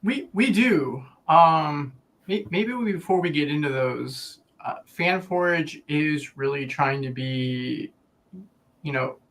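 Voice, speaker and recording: A man giving a casual explanatory pitch on a stream, measured, in short phrases with pauses. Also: animated, fairly bright tone. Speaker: publishing platform representative.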